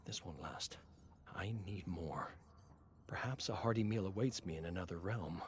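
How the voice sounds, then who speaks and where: A man's voice: sits low, moderate pace, slight background noise, voice pushed down, natural voice. A man voicing a desperate, starving fugitive nobleman in a dramatic game story, brooding, anguished and intense. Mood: weary resolve.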